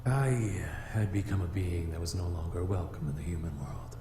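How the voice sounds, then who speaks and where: A man's voice: low voice, normal speed, warm tone, slight background noise, close to an everyday voice. A man playing a solemn, aloof fire demon in a visual novel, speaking in formal, stiff, haughty phrases. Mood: grim, solemn. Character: solemn male fire demon, vengeful, aloof, easily flustered. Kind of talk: visual novel character acting. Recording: echoey reverb with music behind.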